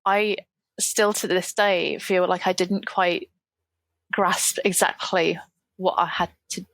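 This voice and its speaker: A woman on a gaming podcast panel, chatting casually and rambling a little, her voice going hoarse. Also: lowish voice, steady tone, slow, fairly bright tone, dry recording. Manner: rambling and self-deprecating, with frequent fillers. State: losing her voice.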